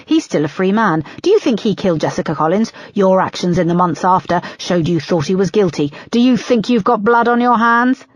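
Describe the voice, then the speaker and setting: A woman's voice: medium pitch, expressive, fairly quick, hardly any pauses, no echo, highs cut off, natural voice. A woman reading an audiobook, voicing a pushy young female TV reporter who fires accusing questions.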